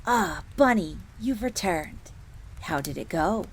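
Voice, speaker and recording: A woman voicing a composed, commanding male master in an audio roleplay, with a smooth, knowing manner. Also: lowish voice, animated, slow, slight background noise. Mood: casual, knowing.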